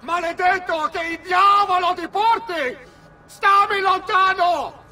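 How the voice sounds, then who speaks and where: An actor playing a vicious, panicking nobleman villain in a video game, shouting frantically with an Italian accent. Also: very high voice, unhurried, full character voice. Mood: panicked, cursing. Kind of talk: dramatic video game acting. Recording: reverberant, noisy game scene.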